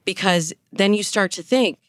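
A woman's voice: medium pitch, normal speed, no room sound, studio quality. A woman speaking as a dating coach and interview guest, explaining things conversationally and with emphasis. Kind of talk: conversational expert advice.

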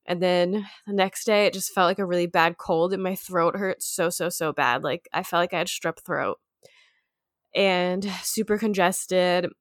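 A young woman from the United States with a congested, nasal voice, short of breath, talking slowly and quietly in a casual chat. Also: on the low side, dry recording, studio quality. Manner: talks slower and quieter, winded. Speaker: sick friend.

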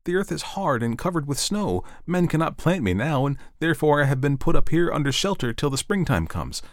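A man's voice: on the high side, very animated, brisk, dry recording, studio quality, pitched above the performer's own voice. A man voicing a young fir tree in a storybook reading, asking eager, breathless questions about what will happen to it. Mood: puzzled. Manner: wistful, breathless wondering questions.

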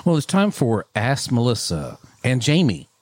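A man's voice: mid-range voice, huge pitch swings, moderate pace, no echo. A man hosting a casual podcast, joking and teasing with his co-host. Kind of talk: casual chat.

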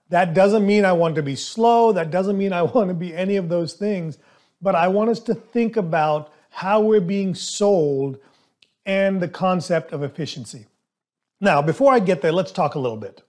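A man who speaks English as a second language, hosting a solo podcast as a dentist and coaching his listeners in an emphatic, animated way. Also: sits high. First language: not English.

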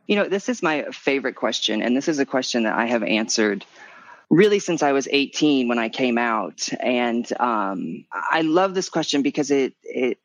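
A man in his thirties with a light, higher voice, talking openly in a guest interview and lifting his pitch high when animated. His pitch sits around the middle for a man. The recording is dry and close, with no room sound. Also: moderate pace, no echo. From Missouri. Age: 30s. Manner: expressive, swoops up in pitch when animated, laughs often. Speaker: podcast guest, memoir author. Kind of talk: candid conversational interview.

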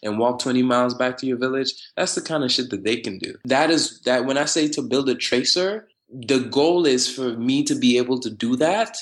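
A man in his twenties from Trinidad and Tobago, talking casually as a podcast guest, animated and quick to laugh. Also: mid-range voice. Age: 20s. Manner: animated, laughs often, spiritual slang-heavy talk. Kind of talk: casual conversational interview.

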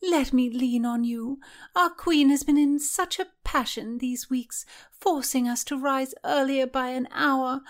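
A woman's voice: high-pitched, steady tone, unhurried, voice pushed up. A woman voicing a young lady at court who chatters and complains with breathless, theatrical energy. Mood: complaining.

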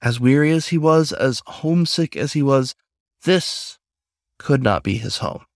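A man's voice: mid-range voice, lively intonation, measured pace, no echo. Man reading a story, close dry sound. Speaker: author reading own story. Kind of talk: scripted story narration.

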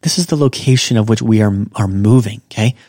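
Upbeat male podcast teacher, low voice, slows down to repeat examples. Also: mellow, no room sound, clean studio sound. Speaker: podcast host and Japanese teacher. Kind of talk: casual spoken language lesson.

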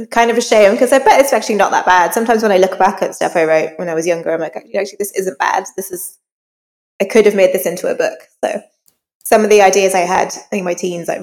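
A woman's voice: on the low side, fast, creaky. A woman speaking as an interview guest, informal and self-deprecating, telling personal anecdotes in a conversational way. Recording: long reverberant hall echo.

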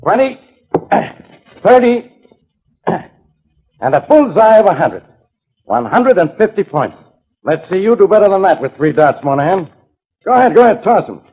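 An actor playing a gruff, irritable police inspector in a 1940s radio detective drama, blustering and impatient, with a husky voice. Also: male voice, sits high, very animated, moderate pace, long pauses, exaggerated voice. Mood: smug, competitive. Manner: blustering, impatient, barking orders. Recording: dull lo-fi 1940s radio recording.

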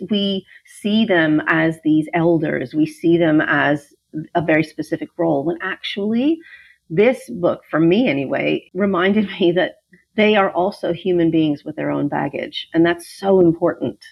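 A woman author whose first language is Ukrainian, telling her family story in an interview with lively, fluent storytelling. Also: lowish voice, unhurried, creaky, husky, no echo, muffled recording. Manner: animated, fluent storytelling with small restarts. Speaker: author, guest. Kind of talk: interview about her novel.

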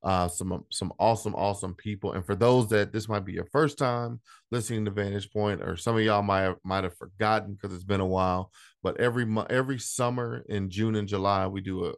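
Male podcast host, fairly low voice, dry sound, easy upbeat intro. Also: no echo. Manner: upbeat, casual, repeats words. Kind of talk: show introduction.

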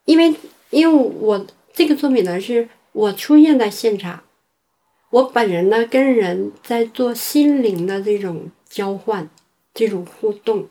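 A woman from China with a medium-pitched voice answers interview questions as an artist. She talks off the cuff, rephrasing herself and dropping in English words. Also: expressive, measured pace, warm tone. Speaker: performance artist being interviewed.

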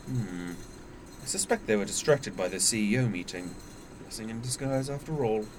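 An actor playing a fussy, evasive, eccentric time-travel scientist in an audio drama, with a hesitant, quaintly formal manner. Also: male voice, on the low side, expressive, moderate pace, background noise, natural voice. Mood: thoughtful.

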